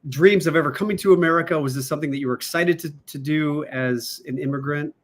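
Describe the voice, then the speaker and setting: A man's voice: on the high side, fast. A man hosting a casual interview, asking long, loosely worded questions with fillers. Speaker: podcast host.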